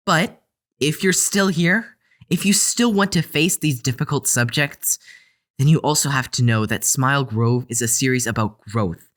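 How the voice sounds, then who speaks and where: A man delivers a scripted podcast introduction as the show's creator. His voice is medium in pitch, and he speaks earnestly and deliberately, addressing listeners directly. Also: fairly bright tone. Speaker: show creator and head writer.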